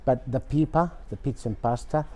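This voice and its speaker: A man, raised between Australia and Italy, gives measured, casual answers with pauses as an interview guest. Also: medium pitch, dark tone. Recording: background noise, room ambience.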